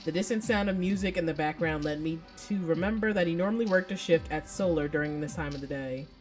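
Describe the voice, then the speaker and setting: A woman's voice: low voice, fast, a little mellow, faint music behind, natural voice. A woman voicing a jilted, hurt and dramatic ex-lover from a romance visual novel, in an aggrieved, accusing manner. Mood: tense, brooding. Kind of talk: visual novel read aloud.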